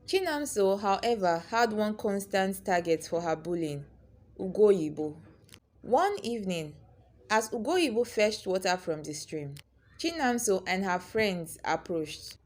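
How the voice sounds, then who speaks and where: A woman storyteller narrating a scripted folktale in a dramatic, expressive way. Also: medium pitch, unhurried.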